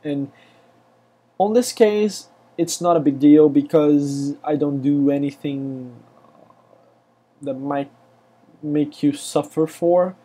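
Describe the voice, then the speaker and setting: A man with a Brazilian Portuguese accent, speaking English as a second language, walks through code at an unhurried pace. His voice sits a little high but sounds mellow and soft-edged, and he often pauses, restarts and fills gaps with "uh" and "um". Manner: halting, many fillers and restarts. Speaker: web developer. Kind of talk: screencast tutorial.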